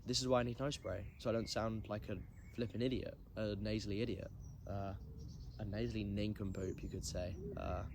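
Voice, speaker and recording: A man's voice: on the low side, steady tone, fairly quick, creaky, background noise. Nasal young Englishman rambling. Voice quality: nasal from hay fever. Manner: rambling, self-deprecating, many ums. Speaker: podcast host. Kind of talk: casual solo podcast chat.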